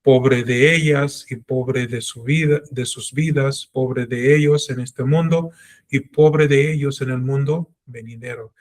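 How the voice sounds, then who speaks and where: A man's voice: mid-range voice, steady tone, a little slow. A middle-aged man teaching a Torah class in an earnest, self-reflective way, drawing on his own life. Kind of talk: religious class lecture.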